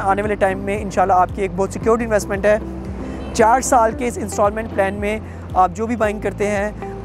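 A young man with a Pakistani Urdu accent delivers a confident real estate sales pitch straight to camera, recorded outdoors on a lapel mic. His steady, persuasive delivery leans on filler phrases as he pushes the offer, with faint music underneath. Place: outdoors at a construction site.

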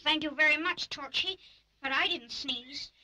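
A woman voicing a playful, timid little toy in a children's puppet adventure, childish and quick to protest. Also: high voice, background noise. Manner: childish, protesting, stammers when scared.

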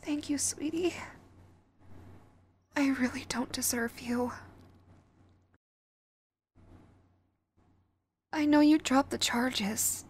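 A woman's voice: sits high, breathy edge, full character voice. A woman playing a sweet, clingy, remorseful yandere girlfriend in an audio roleplay, hesitant and pleading, speaking intimately to the listener. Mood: subdued, grateful. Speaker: voice actor.

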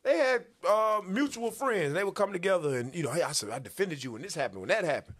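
A man, hosting a religious commentary show and teaching from the Bible in an animated, preacherly way. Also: high voice, quick pace. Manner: animated, preacherly, calls for verses. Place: small podcast studio. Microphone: boom-arm studio mic.

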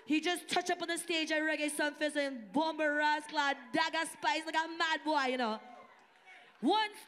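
A woman's voice: sits high, normal speed. A woman from Singapore, an opening MC and rapper, hyping a live crowd on a club stage through a handheld mic. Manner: energetic, call-and-response with the audience. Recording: live concert PA with loud music. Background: loud music.

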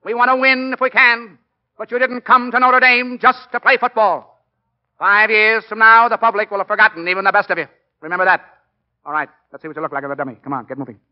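An actor playing a driven, commanding college football coach in a brisk, urgent 1940s radio style. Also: male voice, high voice, steady tone, close to an everyday voice. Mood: commanding, stern. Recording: muffled old 1940s radio recording.

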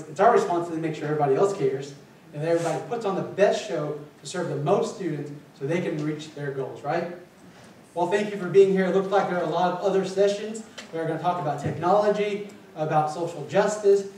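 A man's voice: on the high side, fast talker, a little hiss or hum. Male administrator, forties, upbeat talk with audience questions; distant, roomy capture. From Philippines. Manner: energetic, paces and gestures, asks the audience questions. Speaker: college administrator presenting. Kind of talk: conference presentation. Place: small meeting room with windows. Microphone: far camera, no visible mic. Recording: echoey room picked up from far off.

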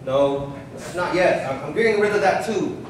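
A man's voice: on the high side, expressive, normal speed, lightly acted. A young man playing a patient, anxious son, explaining earnestly in a staged play reading. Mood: insistent. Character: patient, anxious adult son settling his affairs. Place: large glass-walled public hall, on stage. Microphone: handheld. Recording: echoey hall, distant stage microphone, faint noise.

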